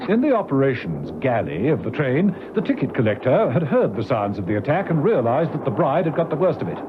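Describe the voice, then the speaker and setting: A man's voice: mid-range voice, very animated, brisk, lightly acted. A man playing the storyteller of a spy radio serial, narrating in a scripted, dramatic style. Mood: suspenseful action. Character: brisk, animated male storyteller of a spy serial. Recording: noisy muffled vintage radio recording.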